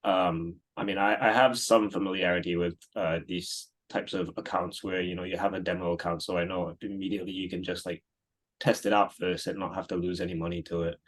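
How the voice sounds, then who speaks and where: This is a man in his thirties, originally from Singapore, talking as an interview guest. His voice sits very low. He rambles at an easy pace, with plenty of ums and restarts. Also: brisk. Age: 30s. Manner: relaxed, rambling, many fillers and restarts. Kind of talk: casual interview answers.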